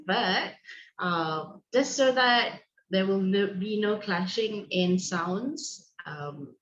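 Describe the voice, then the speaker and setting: A woman from Malaysia hosting an online teacher meeting on a video call, giving friendly instructions with frequent ums and restarts. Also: mid-range voice. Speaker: online session host and co-founder. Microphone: video call microphone. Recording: dull lo-fi echoey call audio.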